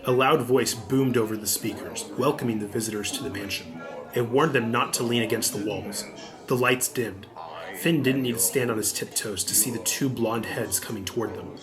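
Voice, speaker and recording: Narrator: man, mid-pitched voice, scripted storybook read with clear music underneath. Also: moderate pace.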